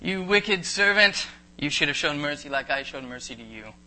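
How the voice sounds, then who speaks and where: A man hamming up sharp, forceful parable characters. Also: a little high, expressive, normal speed, slight background noise, low-quality recording. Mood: scolding. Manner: hammy, exaggerated shouting and pleading. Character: comic characters of a parable, angry king and begging debtor. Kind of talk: live comic skit acting along with a sermon.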